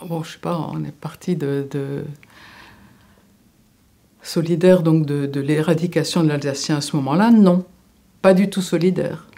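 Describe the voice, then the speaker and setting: A woman's voice: low voice, fairly even intonation, moderate pace, long pauses, warm tone. An older woman from Alsace, a former teacher, giving reflective interview answers, hesitant and often restarting as she searches for words. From Alsace, France.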